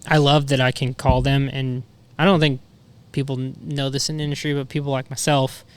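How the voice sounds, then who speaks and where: A man's voice: mid-range voice, little pitch movement, fairly quick, smooth. A man chatting casually as a podcast guest, slangy, profane and self-deprecating. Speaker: podcast guest, motorcycle racer.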